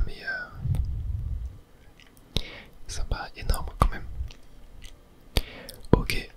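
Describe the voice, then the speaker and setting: A man whispering breathy, murmured running commentary as he plays a video game for ASMR viewers. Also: very high-pitched, rough recording.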